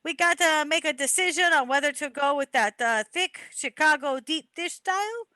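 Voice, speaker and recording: A woman's voice: sits high, moderate pace, bright, forceful tone, dry recording. A woman playing a talkative, deferential male mobster sidekick in an amateur old-time radio comedy play, with rambling, hesitant wiseguy patter.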